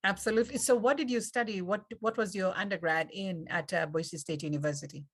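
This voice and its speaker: A woman with a Kenyan accent hosting an online panel, speaking in an encouraging, unhurried way with fillers, over a video call. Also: medium pitch, breathy edge. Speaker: event host. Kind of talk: warm panel moderation.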